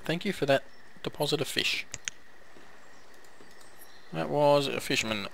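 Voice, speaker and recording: A fairly high-voiced man talks through his game in a relaxed, chatty way, urging his little villagers on. His voice is hoarse and tired from a long day of recording. A faint hiss sits under the voice. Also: measured pace. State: hoarse, coughing, losing his voice. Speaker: gameplay commentator. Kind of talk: casual let's-play commentary.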